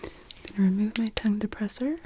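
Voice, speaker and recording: A woman whispering softly and breathily as a doctor in an ASMR medical roleplay, giving gentle, reassuring, unhurried instructions. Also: medium pitch, background noise. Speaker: ASMR performer playing a doctor.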